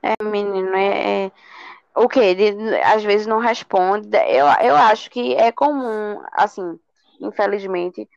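A singer from Recife, chatting easily as a podcast guest. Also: female voice, mid-range voice, slow, vocal fry, clear, resonant tone, dry recording, lo-fi sound. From Recife, Brazil. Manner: relaxed, says "menino" and "sabe?". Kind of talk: casual interview chat.

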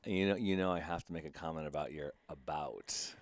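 A man with a Midwestern accent hosting a casual podcast chat, animated and excitable and quick to laugh. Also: extremely low pitch, dry recording. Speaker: podcast host.

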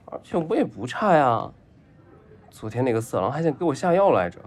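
A man's voice: medium pitch, big pitch swings, fairly quick, natural voice. An actor playing a lovestruck, spoiled young rich man in an audio drama, clingy and naive, with an eager, whiny, childishly earnest way of talking. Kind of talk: Chinese audio drama acting.

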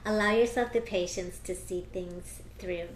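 An American woman speaking as an astrologer and tarot reader, chatting casually in an interview with bursts of excitement. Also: lowish voice, reverberant, a little hiss or hum. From United States. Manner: animated, quick bursts of excitement.

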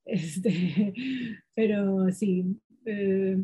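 Woman interview guest, Portuguese speaker; lively storyteller full of fillers and self-corrections. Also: mid-range voice, drawn-out words, slightly rough. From Peru. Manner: lively, animated, many fillers and restarts. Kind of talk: casual conversational storytelling.

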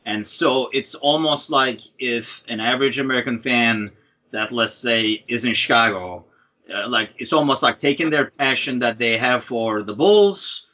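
A man's voice: mid-range voice, measured pace, sharp tone, highs cut off. A man originally from Turkey asking an interview guest questions in a casual, searching way. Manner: casual, searching, uses many fillers. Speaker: host.

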